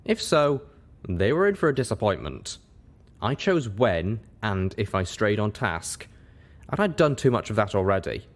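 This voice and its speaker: A man's voice: lowish voice, sing-song, normal speed. A man reading scripted fiction aloud as an audiobook narrator. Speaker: audiobook reader.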